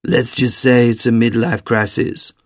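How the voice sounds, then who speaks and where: A man's voice: medium pitch, little pitch movement, a little slow, dry recording, studio quality. A man playing a troubled, hesitant middle-aged man in an audiobook, subdued and uneasy. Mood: wry.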